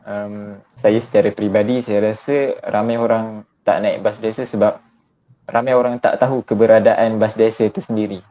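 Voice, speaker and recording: A young man in his twenties from Malaysia, a university student answering interview questions politely and in a measured way. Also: on the low side, flat delivery, mellow. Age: 20s. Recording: dull lo-fi sound.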